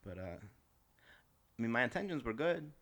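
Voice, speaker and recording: A man's voice: medium pitch, very animated, unhurried, fairly bright tone. An American man co-hosting a podcast, bantering casually with dry, teasing jokes and a hesitant delivery. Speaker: podcast co-host.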